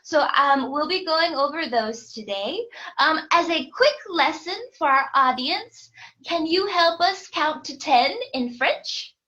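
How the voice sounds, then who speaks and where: A woman's voice: high-pitched, lively intonation, a little slow, bright, forceful tone, lo-fi sound. A woman hosting a casual podcast lesson over a video call, bubbly and laughing often. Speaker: host and English teacher.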